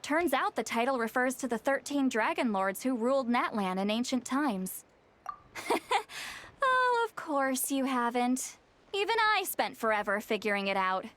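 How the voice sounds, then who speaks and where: A woman voicing a proud, sharp-tongued young shaman in a video game, explaining lore in a wry, lecturing way. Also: high voice, big pitch swings, moderate pace. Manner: wry, lecturing, slightly haughty. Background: game soundtrack music.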